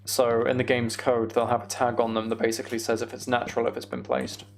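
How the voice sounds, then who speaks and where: A man's voice: medium pitch, completely flat delivery, fast talker, creaky. A man streaming a video game, chatting casually and thinking aloud in a rambling way.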